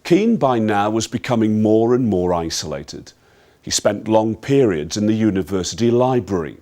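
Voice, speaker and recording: An actor playing a measured investigator, narrating a case file in an official manner for a dramatised film. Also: male voice, on the low side, lively intonation, warm tone, lightly acted. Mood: grave, factual. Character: measured male investigator recounting a troubled youth's case.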